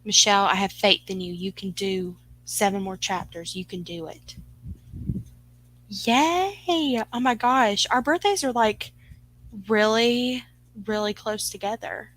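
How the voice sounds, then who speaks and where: A woman's voice: medium pitch, unhurried, a bit bright, poor audio. A woman hosts a live stream and talks straight to her viewers. She rambles in a friendly, upbeat way, full of "like" and "um", and answers comments as she goes. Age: 20s. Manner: rambling, filler-heavy and upbeat. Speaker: livestream host.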